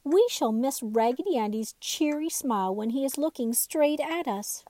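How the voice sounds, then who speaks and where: A woman's voice: high-pitched, lively intonation, normal speed, mellow, no echo, voice pushed up. A woman voicing a kindly, sorrowful male doll from a children's storybook, with a regretful manner.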